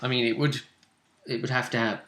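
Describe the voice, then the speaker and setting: A man casually talking through a tabletop role-playing game as game master, often pausing and thinking aloud in broken phrases. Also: mid-range voice, little pitch movement, fairly bright tone. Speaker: game master, host of a role-play vidcast. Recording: roomy, echoing room sound.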